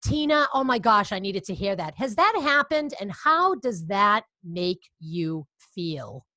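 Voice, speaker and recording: A woman's voice: mid-range voice, sing-song, measured pace, sharp tone, no room sound, clean studio sound. A woman podcast host with a Boston accent, upbeat and chatty, encouraging her guest in a casual interview.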